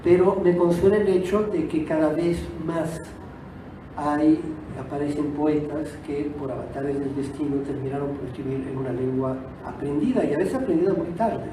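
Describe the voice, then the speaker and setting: Guy w/ italian as first language, slightly high voice, thinking aloud in a big echoey auditorium, noisy and far off. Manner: hesitant, reflective, pausing to reword. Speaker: guest author, poet. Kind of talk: unscripted public talk. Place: auditorium at a book fair.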